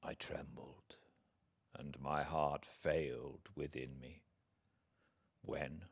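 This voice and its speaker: A British man reading classic literature aloud as a narrator, in a measured, theatrical, dramatic style. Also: extremely low pitch, steady tone, gravelly, breathy edge. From Britain. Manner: measured, theatrical delivery with hushed passages and swelling emphasis.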